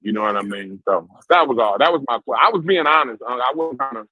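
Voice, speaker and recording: A man's voice: mid-range voice, lively intonation, fast talker, no room sound. A man joining a group conversation over a call, asking questions earnestly and patiently, with dull, lo-fi audio.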